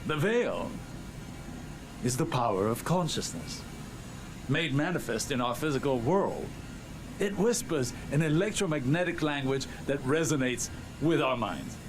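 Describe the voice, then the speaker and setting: A man playing a grave, earnest scholar in a game, speaking in a measured, formal, cautious way. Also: mid-range voice, lively intonation, noisy background, natural voice. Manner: measured, formal, hesitant in places. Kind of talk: dramatic game cutscene monologue. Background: music.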